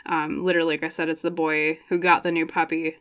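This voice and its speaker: Young woman, lowish voice, casual tarot chat, thinks out loud, slight scratch in the throat. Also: little pitch movement, clear, resonant tone. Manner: chatty, thinks aloud with many fillers and quick laughs. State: voice getting scratchy. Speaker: tarot reader. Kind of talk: casual pick-a-card tarot reading.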